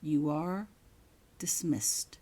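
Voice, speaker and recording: A woman voicing a coldly controlled, menacing male headmaster in a story, speaking softly and slowly, near a whisper, with weighted pauses. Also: deep voice, slightly rough, full character voice. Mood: quietly menacing. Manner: slow, deliberate, with weighted pauses.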